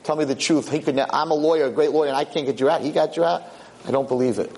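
A man's voice: fairly high pitch, rapid-fire, darkish tone, natural voice, poor audio. A man voicing a proud, disbelieving royal lawyer in a told story, with indignant questioning.

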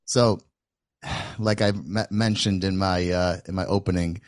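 Low-voiced male interviewer, dry sound. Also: fairly even intonation, measured pace, dry recording. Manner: rambling questions with many fillers and restarts. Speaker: interview host. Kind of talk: hosting an interview.

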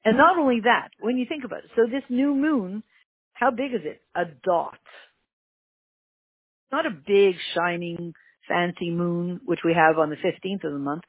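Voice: moderate pace, husky, no room sound. Canadian-accented teacher on a tinny line. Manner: emphatic, repeats key words, sudden pitch jumps. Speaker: Torah teacher. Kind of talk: religious lesson on a text. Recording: tinny phone-like line, dry.

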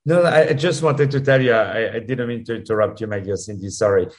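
A man with a French accent, a guest answering interview questions in a halting, effusive way with many restarts. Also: fairly low pitch. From France. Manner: halting, repeats words, warm and effusive. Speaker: musical producer guest.

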